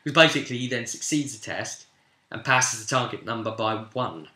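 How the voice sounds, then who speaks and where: Bright-voiced British man in an echoey room. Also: mid-range voice, brisk. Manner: animated, acts out players' remarks in quotes. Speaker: game master recounting his tabletop session. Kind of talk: casual storytelling recap. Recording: roomy, echoing room sound.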